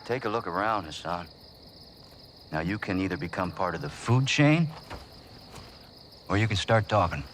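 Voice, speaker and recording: An actor playing a cocky, aggressive American military commander in a video game, with a swaggering, folksy, commanding delivery. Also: male voice, deep voice, animated, normal speed, long pauses, background noise. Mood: menacing. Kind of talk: video game cutscene acting.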